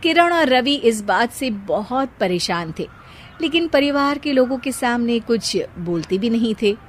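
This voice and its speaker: A woman's voice: a little high, animated, measured pace, a little hiss or hum. A woman reading scripted narration as the storyteller of a cartoon tale.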